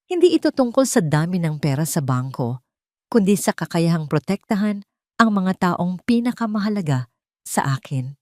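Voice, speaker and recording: A woman reading scripted first-person narration of a dramatic story in a measured, solemn way. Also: on the low side, big pitch swings, warm tone, dry recording, studio quality.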